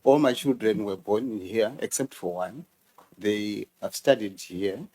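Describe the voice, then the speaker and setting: A man from Zimbabwe who works as a university official, testifying before a committee with hesitant, halting answers. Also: fairly low pitch, a little mellow. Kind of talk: formal testimony.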